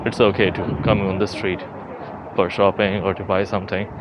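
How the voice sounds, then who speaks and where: A young man with a fairly low voice talks informally to his viewers as he walks. He thinks aloud in the style of a casual tour vlog. The recording is outdoors, with heavy street and traffic noise around his voice. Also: little pitch movement, normal speed. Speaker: vlogger. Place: outdoors on city streets while walking. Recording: noisy city street, traffic ambience.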